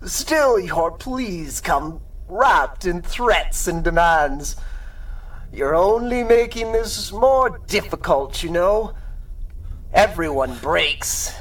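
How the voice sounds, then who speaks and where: A sadistic pulp supervillain toys with his victim in a radio play. The delivery is slow and theatrical, swinging widely in pitch, mocking and gloating, heard slightly away from the mic. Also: expressive, fairly bright tone, recorded from a distance, heavily acted, poor audio. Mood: gloating, menacing. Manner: mocking, drawn-out, theatrical, frequent cackling. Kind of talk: radio drama acting in 1930s pulp style.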